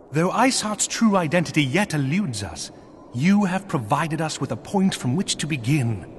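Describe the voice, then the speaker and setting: Casting: male actor for gracious lord of a knightly outpost, fantasy game; formal old-world diction, highly varied intonation. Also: slight background noise, natural voice. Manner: formal, archaic, courtly phrasing. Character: earnest, gracious nobleman commanding a snowbound knightly outpost. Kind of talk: scripted fantasy game dialogue.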